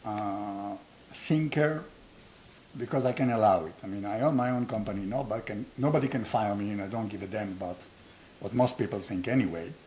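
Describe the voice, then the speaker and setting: A man's voice: on the low side, brisk, darkish tone, slight background noise, low-quality recording. An older man from Israel with an Israeli accent, hosting an unscripted conversation in a blunt, provocative way with self-deprecating humor. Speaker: host, founder of a training academy.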